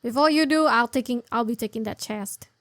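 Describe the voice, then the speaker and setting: Woman gamer chatting over her game, voice a bit high, casual reactions. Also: fairly quick. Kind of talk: casual gameplay commentary.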